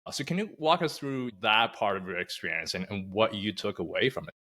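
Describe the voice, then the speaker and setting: Deep forceful man, Mandarin native. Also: sing-song. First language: Mandarin Chinese. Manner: deliberate, frequent "uh" and "you know". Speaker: podcast co-host. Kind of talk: podcast conversation.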